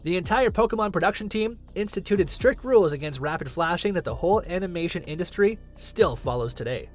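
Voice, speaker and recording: A man narrates a scripted countdown video in a high, animated voice. He explains things with emphasis, while faint music plays behind him. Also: a little fast.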